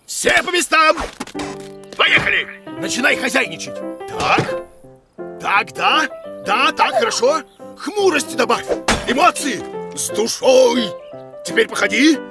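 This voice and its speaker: A blustery cartoon creature with a creaky, wildly swooping voice. He bosses and exclaims like a self-important director, teaching children in an animated show. Music plays behind him. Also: very high voice, slow, pauses often, full character voice. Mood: commanding. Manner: bossy, exclamatory, hammy.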